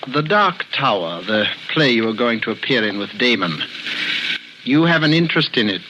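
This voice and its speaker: Male actor as suspicious questioner, 1940s suspense radio play, lively intonation, muffled hissy sound. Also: medium pitch, moderate pace, lightly acted. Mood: probing, suspicious.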